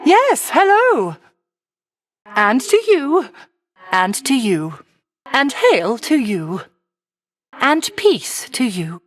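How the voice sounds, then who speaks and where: A woman voicing a whimsical, doting sorceress in a video game, with an unhurried, lilting delivery and old-fashioned genteel phrasing. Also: high-pitched, very animated, heavily acted. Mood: welcoming, blessing. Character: whimsical sorceress aunt living alone on her isle, doting, dreamy. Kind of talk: theatrical video game character acting.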